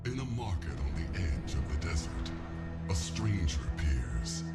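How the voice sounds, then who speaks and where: An actor playing a grave storyteller of an ancient desert legend, narrating a game cinematic in a solemn, measured way. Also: male voice, rumbling low, big pitch swings, heavily acted. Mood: grave, ominous. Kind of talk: dramatic scripted cinematic narration. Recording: loud music under the voice. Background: loud cinematic music.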